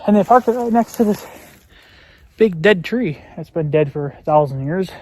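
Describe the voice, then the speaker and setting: High-voiced American man musing outdoors. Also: lively intonation, normal speed, darkish tone. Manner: folksy, musing aloud, mild swearing. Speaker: vlogger. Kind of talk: casual rambling outdoor vlog narration. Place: outdoors in rural woods. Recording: faint outdoor background noise. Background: faint outdoor noise.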